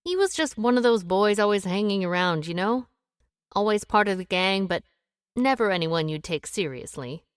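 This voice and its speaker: An actress playing a proud, secretive businesswoman who speaks briskly and tartly in a radio play. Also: mid-range voice, expressive, clean tone, no echo, clean studio sound, lightly acted. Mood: defensive. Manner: brisk, tart and defensive. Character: sharp, proud woman business owner, secretive. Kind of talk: radio drama acting.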